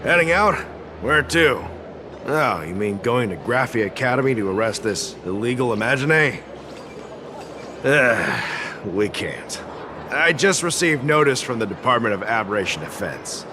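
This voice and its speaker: A man's voice: mid-range voice, very animated, unhurried, background noise. A man voicing a chatty, earnest security officer in a video game, explaining things in a slightly fussy way. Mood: earnest, explanatory. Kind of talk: video game character dialogue.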